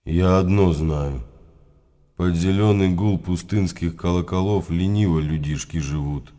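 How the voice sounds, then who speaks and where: A stern, weary old abbot in a radio play. His voice is very low and dark, and he speaks slowly and gravely, weighing each phrase. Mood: grave, disapproving. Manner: grave, deliberate, pausing. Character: stern, weary monastery abbot with fatherly pity. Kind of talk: radio drama acting.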